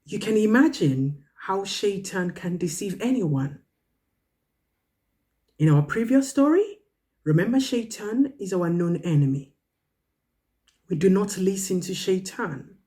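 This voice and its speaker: A woman's voice: mid-range voice, dark tone. A woman storyteller speaking to children, drawing moral and religious lessons in an earnest, emphatic, instructive manner.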